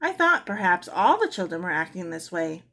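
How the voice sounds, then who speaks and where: A woman's voice: fairly low pitch, very animated, normal speed, creaky, bright, forceful tone, full character voice. A woman voicing a fretful, exasperated mother in a storybook, with an emphatic, complaining manner. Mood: worried, upset.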